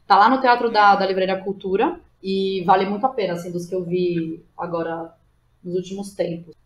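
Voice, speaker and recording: A woman's voice: mid-range voice, steady tone, brisk. Effusive Brazilian woman chatting casually. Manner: colloquial, effusive, emphatic. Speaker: podcast guest, playwright and educator. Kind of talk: casual conversational interview.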